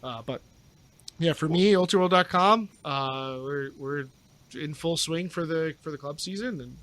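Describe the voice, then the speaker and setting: Guy guesting on a podcast, kinda high voice, casual and opinionated, really emphatic. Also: unhurried, a bit bright. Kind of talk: casual chat.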